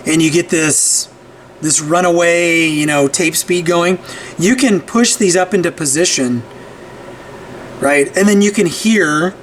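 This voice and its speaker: A man's voice: on the high side, slight background noise. A man hosting a casual repair tutorial at his workbench, talking conversationally and often checking in with "right?". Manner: conversational, frequent "right?" and restarts. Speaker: repair channel host. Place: workbench room.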